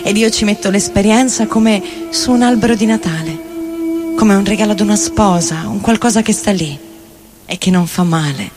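A woman giving a theatrical recitation of poetry in deliberate, short phrases. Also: mid-range voice. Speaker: theatre reader and vocal performer. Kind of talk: poetic theatrical recitation over music. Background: experimental guitar and electronic loops.

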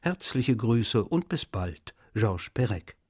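A man's voice: on the low side, very animated, slow, a little mellow, slightly rough, dry recording, studio quality, heavily acted. A man playing a busy writer reading his letters in a casual, slightly snippy way for a radio feature. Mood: brisk, snippy.

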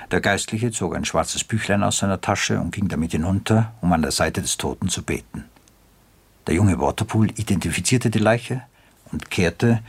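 A man narrating a radio drama in a literary, dryly ironic, deliberate storytelling manner. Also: sits low, gravelly, husky.

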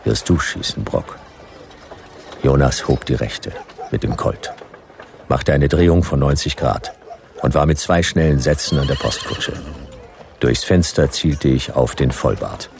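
A man playing a laconic, world-weary detective narrator in a radio drama, terse, deadpan and measured. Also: rumbling low, gravelly, a little hiss or hum, heavily acted. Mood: suspenseful.

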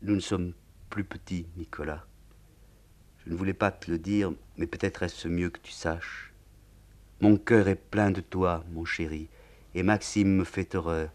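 A man voicing a tormented young woman who speaks in hushed, anguished tones. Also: low voice, fairly even intonation, measured pace.

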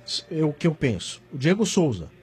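A male sports radio pundit makes his case in short, firm points. His high voice swings widely in pitch and is slightly husky, with faint music behind him. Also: very animated, brisk, darkish tone. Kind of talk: casual sports radio chat.

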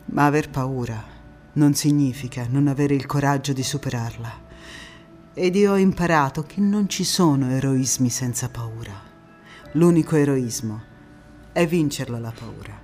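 An actress playing a young Italian partisan woman who recalls the war in an intense, deliberate theatrical monologue. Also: very deep voice, darkish tone, faint music behind. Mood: resolute, reflective. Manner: intense, deliberate, with dramatic pauses and repetitions. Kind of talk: dramatic theatrical monologue narration.

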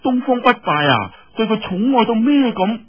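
A man voicing a proud, scornful young woman in a story, with a husky voice and indignant, drawn-out phrasing. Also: very high-pitched, sing-song, pitched above the performer's own voice. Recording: muffled, dull lo-fi audio.

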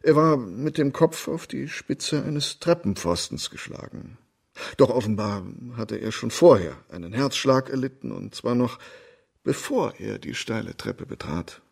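A man in a radio drama playing an oily, lecherous doctor who talks smoothly and fawns on authority. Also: mid-range voice, lively intonation, a little slow, darkish tone, exaggerated voice. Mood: fawning, formal. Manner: smooth-talking, obsequious, formal.

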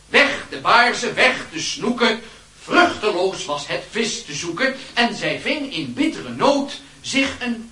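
A man's voice: sits high, sharp tone, full character voice. An actor playing a theatrical schoolboy who declaims his own mocking fable in verse in a radio drama. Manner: declamatory, drawn-out verse delivery. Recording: roomy, distant from the microphone.

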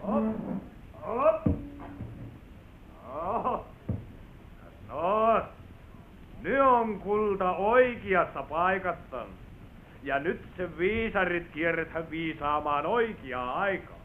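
High-voiced folksy clock merchant. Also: male voice, animated, pauses often, slight background noise. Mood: proud, coaxing. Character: folksy male clock merchant, proud and persuasive salesman. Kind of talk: vintage Finnish radio play acting. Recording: thin, phone-like old radio recording.

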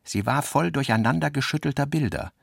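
A man's voice: mid-range voice, brisk, gravelly, dry recording, clean studio sound. A man reading scripted literary narration as the narrator of a radio play, voicing quoted dialogue within the prose.

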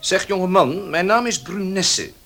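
An actor playing a brisk, forthright young gentleman in a Dutch radio play. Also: sits high, creaky, soft background music, heavily acted. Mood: surprised, animated. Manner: animated, forthright. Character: brisk, surprised young gentleman, old acquaintance.

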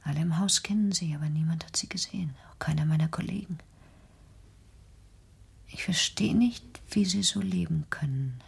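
An actress playing a sheltered, defiant grown daughter in a radio drama, speaking in a hushed, intense way. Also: female voice, deep voice, normal speed, full character voice. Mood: insistent. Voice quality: hushed, breathy, husky. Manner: intense, insistent, accusing. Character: sheltered, defiant 38-year-old daughter confined at home. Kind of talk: German radio drama acting.

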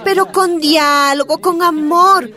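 A woman's voice: very high voice, slow pace, sharp tone, heavily acted. An actress playing a cajoling, condescending motherly woman envoy in a radio drama. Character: sweet-talking motherly woman envoy, secretly a strikebreaker.

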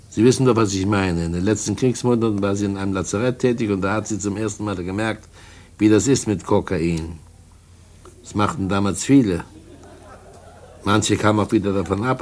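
An actor in a radio drama playing a sly, sinister drug dealer and blackmailer, mockingly polite, smug and cajoling. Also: male voice, fairly low pitch, darkish tone. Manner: smug, cajoling, mock-courteous, chuckling. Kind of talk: mid-century German radio drama acting.

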